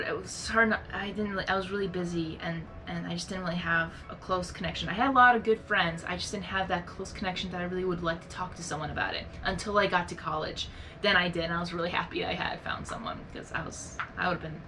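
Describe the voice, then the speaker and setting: A young woman hosting a reaction video, talking in a rambling, earnest, soft-spoken way with many fillers and restarts. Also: mid-range voice, a little hiss or hum. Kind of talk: casual reflective monologue.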